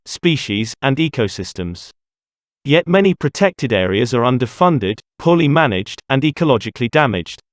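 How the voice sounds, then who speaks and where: A man's voice: mid-range voice, dry recording, clean studio sound. A man reading scripted informational passages aloud as a narrator. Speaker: narrator of exam practice passages.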